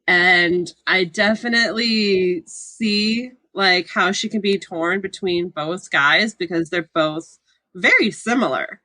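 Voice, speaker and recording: A woman's voice: on the low side, takes it slowly, pushed and bright, no room sound. A woman in a casual book discussion on a podcast, talking informally with a wry manner. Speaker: podcast panelist.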